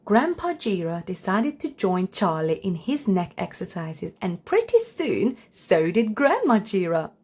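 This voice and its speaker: A woman's voice: mid-range voice, very animated, a little slow, hardly any pauses, a little mellow, breathy edge. A woman storyteller reading a children's book aloud as scripted narration.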